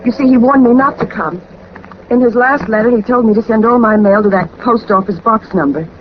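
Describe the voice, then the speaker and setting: A woman's voice: on the high side, fairly quick, natural voice. An actress playing a frightened young woman in danger, speaking politely and urgently in 1940s radio drama style. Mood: anxious, earnest. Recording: dull, noisy old 1940s radio recording.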